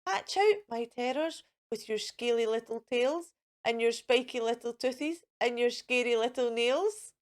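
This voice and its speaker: A woman's voice: fairly high pitch, unhurried, lightly acted. A woman voicing a dim-witted, dramatic mother Tyrannosaurus with swooping, emphatic exclamations in a storybook. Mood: proud, singing.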